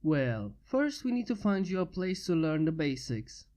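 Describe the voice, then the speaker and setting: A fanfiction reader voicing a blunt, gruff inventor who has become a father. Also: medium pitch, very animated, moderate pace, a little mellow, lightly acted.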